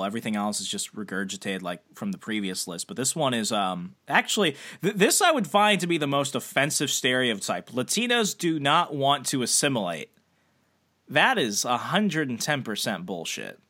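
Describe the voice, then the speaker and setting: A man's voice: mid-range voice, big pitch swings, bright, forceful tone. A man co-hosting a podcast in casual chat, blunt and joking as he trades quips with his co-host. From Puerto Rican family. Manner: blunt, joking, quick to banter.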